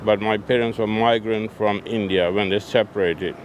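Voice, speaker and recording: A man's voice: fairly low pitch, steady tone, moderate pace. An older man from Pakistan answering a street interviewer bluntly, complaining about his area. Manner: blunt, complaining, simple phrasing. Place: outdoors on a city street. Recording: street noise in background.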